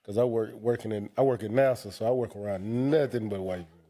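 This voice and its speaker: A man with a raspy voice co-hosting a boxing podcast, laughing a lot in casual chat. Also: on the low side, quick pace, mellow. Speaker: podcast co-host.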